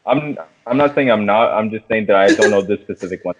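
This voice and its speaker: A man's voice: lowish voice, fairly quick, a little hiss or hum. A man co-hosting a podcast, discussing a film casually and slangily, often restarting his sentences. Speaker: podcast co-host. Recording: thin, phone-like sound.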